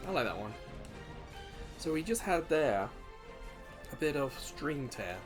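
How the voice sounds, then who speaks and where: A man's voice: fairly high pitch, very animated, a little slow. A man giving casual gameplay commentary, thinking aloud and musing to himself as he hosts. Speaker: host of a gaming video series. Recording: game music behind the voice. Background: video game music.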